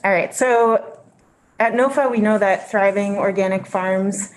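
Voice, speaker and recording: Soft-spoken woman giving testimony. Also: medium pitch, fairly even intonation, unhurried, slight background noise, poor audio. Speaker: witness testifying to a committee. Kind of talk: formal testimony. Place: legislative committee room.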